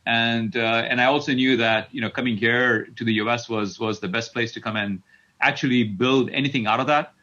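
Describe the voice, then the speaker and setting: A man's voice: mid-range voice, fairly even intonation, a little fast, bright, forceful tone, dull audio. A middle-aged man from Kenya answering as an interview guest, repeating words as he thinks aloud. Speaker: tech company CEO, interview guest.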